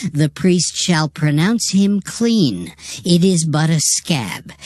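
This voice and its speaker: A woman giving a measured, formal, dramatized reading of King James scripture. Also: low voice, darkish tone, dry recording.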